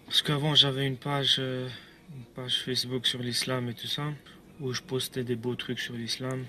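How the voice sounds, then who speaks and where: A man's voice: mid-range voice, completely flat delivery, normal speed, pushed and bright, slight background noise. A man telling his own story on video, rambling and hesitant, with repeats and nervous laughs. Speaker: ex-believer giving a testimony video. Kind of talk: casual video testimony.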